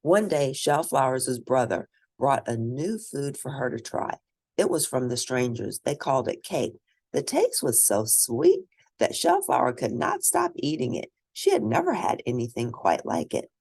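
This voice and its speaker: A woman reading a children's story aloud and discussing it in an expressive, teacherly manner. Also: extremely low pitch, unhurried, gravelly, breathy edge, no room sound, studio quality. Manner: expressive, teacherly, asks questions of listeners. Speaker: storybook reader.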